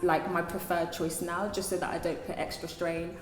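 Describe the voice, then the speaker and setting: Deep-voiced woman in an echoey room. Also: little pitch movement, normal speed. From London. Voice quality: deep speaking voice. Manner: thoughtful, many fillers and restarts. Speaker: singer, guest. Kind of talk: casual interview talk.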